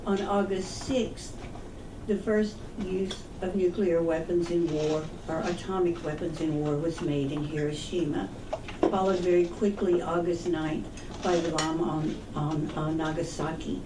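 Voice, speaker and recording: Soft-voiced woman in her 70s, far off. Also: deep voice, fairly even intonation, measured pace. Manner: soft-spoken, hesitant restarts. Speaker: guest speaker. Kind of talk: public talk. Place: meeting room. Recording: echoey room, far from the mic, noisy.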